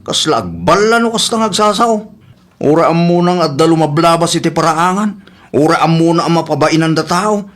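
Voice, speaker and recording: A man's voice: on the high side, lively intonation, normal speed, a bit bright. A man playing an aggrieved, defiant son-in-law in a comedy drama, complaining defensively with an Ilocano accent.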